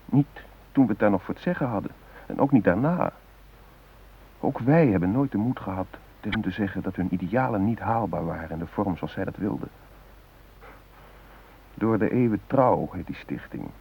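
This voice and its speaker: A man acting an earnest, guilt-ridden humanist in a radio play, musing in deliberate, introspective phrases. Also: low voice, breathy edge, narrow, tinny audio, exaggerated voice. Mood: earnest, self-accusing. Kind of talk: radio drama monologue. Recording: hissy old radio play recording.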